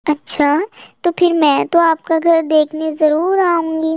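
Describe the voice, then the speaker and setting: A woman puts on a vain, pretty young female crow from a cartoon. The voice is high and slow, sweetly demanding and coquettish. The audio is dull. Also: level tone, darkish tone, exaggerated voice. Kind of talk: Hindi cartoon story dialogue. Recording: muffled lo-fi cartoon audio with echo.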